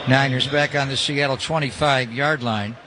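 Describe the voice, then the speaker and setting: A man's voice: mid-range voice, steady tone, normal speed. An American man calling live football on the radio as play-by-play announcer, in clipped, descriptive calls. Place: stadium broadcast booth. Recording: live radio feed with loud stadium noise.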